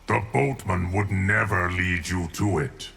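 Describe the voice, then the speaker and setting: Very deep, solemn guardian. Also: male voice, gravelly, a bit bright, soft background music, heavily acted. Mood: stern, solemn. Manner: measured, grave, authoritative. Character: stern, calm male guardian of time, immovable and solemn. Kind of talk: video game dramatic dialogue.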